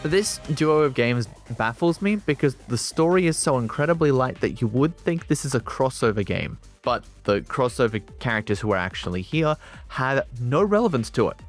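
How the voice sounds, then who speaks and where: A man's voice: mid-range voice, big pitch swings, normal speed. A man reading a scripted video game review as a voice-over, with colloquial, wry and opinionated phrasing. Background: music behind the voice.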